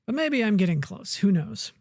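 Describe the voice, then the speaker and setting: A woman's voice: medium pitch, very animated, normal speed, a little mellow, dry recording, studio quality. A woman casually talking through a survival game as she builds, muttering half to herself. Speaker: gamer. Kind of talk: casual let's-play commentary.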